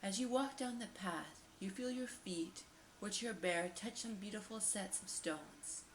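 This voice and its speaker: A woman leads a guided visualization at a medium pitch, lingering over phrases and repeating them soothingly. Her voice rings with noticeable room echo, and a faint layer of noise sits behind it. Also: a little slow. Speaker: guided meditation host. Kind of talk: guided meditation visualization narration.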